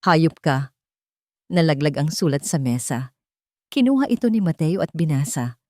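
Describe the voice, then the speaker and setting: A Filipino woman narrates a dramatic love story in a low register. Her tone is dark and mellow, and the recording is dry with hardly any room sound. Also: very animated, moderate pace, no room sound. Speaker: narrator of romantic stories.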